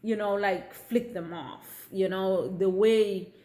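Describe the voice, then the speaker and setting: Woman vlogging, measured. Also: mid-range voice, fairly even intonation, mellow. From South Africa. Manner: measured, repeats "okay?" to check in with viewers. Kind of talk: casual vlog commentary.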